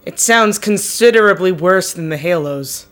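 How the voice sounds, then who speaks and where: A female boss in an audio drama, with a lowish voice. She is formal, measured and authoritative, with faint music underneath. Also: expressive, a bit bright. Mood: concerned. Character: composed, authoritative female boss of a covert team. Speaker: voice actor in a fiction podcast. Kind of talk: scripted audio drama acting.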